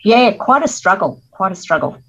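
A middle-aged Australian woman, a guest author telling her life story in an earnest, measured interview manner. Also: mid-range voice, very animated, a bit bright, slightly rough, lo-fi sound.

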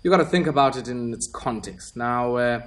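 Male talk radio host, probing but even, mid-pitched. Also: moderate pace. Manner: probing, steady interviewer with fillers.

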